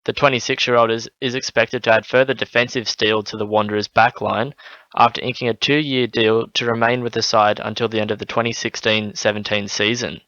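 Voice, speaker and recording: A man's voice: fairly low pitch, flat delivery, fairly quick, bright, forceful tone, no echo. A man with an Australian accent reading a scripted sports bulletin on the radio. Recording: slightly dull, lo-fi broadcast audio.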